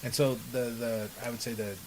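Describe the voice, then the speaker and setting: A man's voice: medium pitch, brisk. A middle-aged man from the Bahamas answering as an interview guest, emphatic and often checking in with a short question. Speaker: podcast guest, personal development speaker. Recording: steady background hiss.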